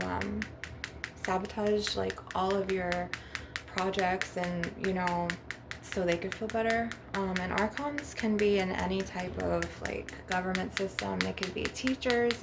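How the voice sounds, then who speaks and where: A woman's voice: fairly low pitch, vocal fry. A woman hosting a casual spiritual ASMR monologue to viewers, talking in a rambling, conversational way. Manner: rambling, with frequent "like" and "you know". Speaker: ASMR channel host. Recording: loud background noise with tapping and clicking. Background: crystal and glass tapping, clicks, background noise.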